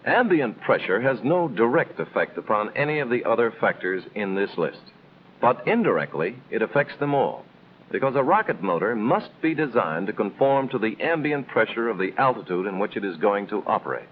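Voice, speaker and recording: An American man reading scripted instructional narration in the style of a 1950s military training film, explaining in a measured, didactic way with clear emphasis. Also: fairly low pitch, sing-song. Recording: tinny band-limited old film soundtrack, noisy.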